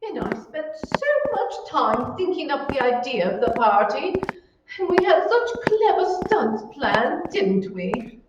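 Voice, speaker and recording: A woman's voice: sits high, sing-song, a little slow, very noisy. A woman playing a haughty, bossy married hostess in an old-time radio thriller, scolding in a theatrical, indignant way. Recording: echoey hall, distant mic, muffled.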